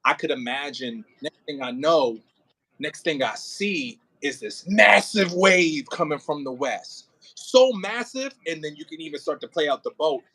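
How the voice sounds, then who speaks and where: Male drama director, bright clear-cut tone, informal rehearsal coaching with dramatic demonstrations. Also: a little high, unhurried. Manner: expressive, demonstrates lines dramatically. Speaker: director of an audio play. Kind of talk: coaching an actor in rehearsal, casual. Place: indoors near a window, on a remote call.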